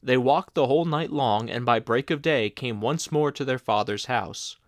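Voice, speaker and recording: A man narrating a classic fairy tale in a steady, clear storybook reading. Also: medium pitch, normal speed, no echo, clean studio sound. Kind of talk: fairy tale read-aloud.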